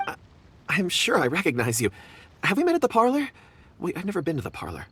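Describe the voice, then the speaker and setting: A bright-spirited young rock enthusiast, lightly pitched. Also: male voice, wildly swooping pitch, fast, slight background noise, full character voice. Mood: puzzled. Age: young adult. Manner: polite, eloquent, enthusiastic. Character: genial, absent-minded young man, eloquent rock enthusiast. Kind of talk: video game dialogue acting.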